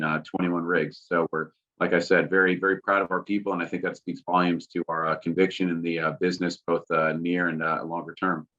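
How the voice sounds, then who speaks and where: A man from Texas presenting his oil company on a webinar, steady and full of fillers, over a video call. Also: sits low. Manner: steady, filler-heavy explaining. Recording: dull lo-fi video call audio.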